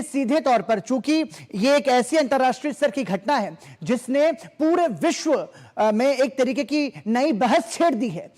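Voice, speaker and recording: A man's voice: very high voice, darkish tone. A man anchoring a formal news interview, putting long, wordy questions to a guest. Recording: echoing hall-like reverb.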